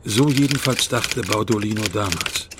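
An actor playing a detached, knowing storyteller who comments reflectively in a radio drama. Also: male voice, lowish voice, moderate pace, lightly acted. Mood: knowing, sober. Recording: loud clicking, typewriter-like noise.

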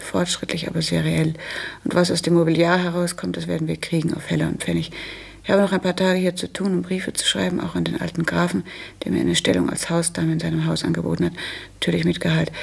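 An actress playing a dutiful, matter-of-fact widowed daughter in a German radio play, speaking in an earnest, measured way with a husky, creaky voice. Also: female voice, lowish voice, full character voice. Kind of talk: German radio play acting, letter monologue.

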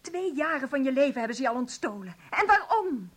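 A woman's voice: high voice, big pitch swings, fairly quick. An actress playing a proud, insistent woman pressing her partner in a radio drama, with a challenging, sarcastic edge. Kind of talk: radio play acting.

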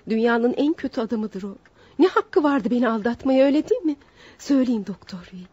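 An actress playing a proud, distraught young wife betrayed by her husband, pleading melodramatically and haltingly in an old radio play. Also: fairly high pitch, expressive, mellow, husky. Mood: defensive, pleading. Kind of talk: old radio theater acting. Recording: dull lo-fi radio drama, partly phone-like.

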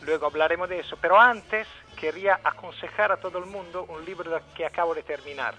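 Higher-pitched Italian-accented man on radio. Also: fairly quick, bright, forceful tone, low-quality recording. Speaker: radio show contributor. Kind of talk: casual radio chat and recommendations.

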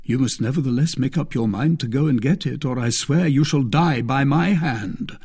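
A man's voice: medium pitch, moderate pace, a little mellow, slightly rough. A man voicing a commanding young swordsman of twenty, stern and imperious, in an audiobook. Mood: threatening. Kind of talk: dramatised audiobook character voice.

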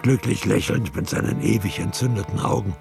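A man's voice: lowish voice, a little fast, creaky, over music. A man narrating a scripted radio drama in a storytelling manner.

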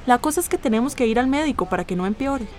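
A woman's voice: a little high, lively intonation, rapid-fire, a little mellow, close to an everyday voice. An actress playing an exasperated, practical young girlfriend in a radio drama, with a blunt manner. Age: young adult. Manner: no-nonsense, blunt.

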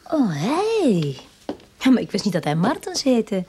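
An anxious wife in a radio play. Her voice sits at an average pitch. She speaks with insistence, pressing others to believe her. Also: wildly swooping pitch, measured pace, warm tone, a little hiss or hum. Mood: puzzled. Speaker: actor in a radio drama. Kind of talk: Dutch-language radio play acting.

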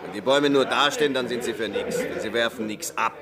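A man's voice: mid-range voice, fast talker, a crowd in the background, natural voice. An actor playing a callous, money-minded village man in a radio drama, blunt and matter-of-fact. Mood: calculating.

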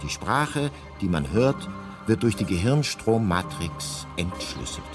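Low, lively talking guidebook. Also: male voice, takes it slowly, over loud music, exaggerated voice. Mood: dry, ironic. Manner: deadpan, mock-scholarly, precise. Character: talking guidebook, learned male narrator with dry irony. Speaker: narrator. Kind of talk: scripted radio drama narration.

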